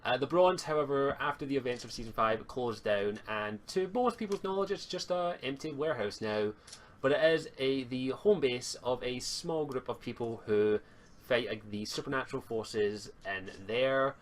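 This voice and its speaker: A man improvising casual narration as game master of a tabletop roleplay, in a halting way. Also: medium pitch.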